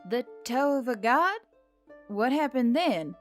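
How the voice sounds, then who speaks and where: A woman voicing a nervous, sharp-tongued young woman who talks in a casual, emphatic, slangy way in an audiobook story, with music behind. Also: high-pitched, sing-song, slow, voice pushed up, full character voice. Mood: worried. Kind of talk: audiobook character dialogue.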